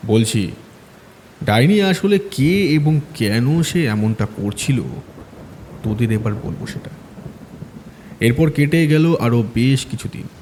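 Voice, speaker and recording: A man narrating a village ghost story in a measured, dramatic manner. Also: mid-range voice, darkish tone, slight background noise. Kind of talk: scripted horror audio story narration.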